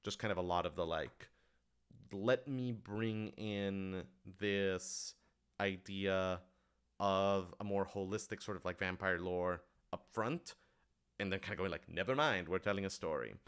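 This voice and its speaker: A man's voice: low voice, measured pace, fairly bright tone, clean tone. A man talking casually on a livestream Q&A, thinking aloud in a rambling, enthusiastic way. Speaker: writer-director of audio dramas answering questions.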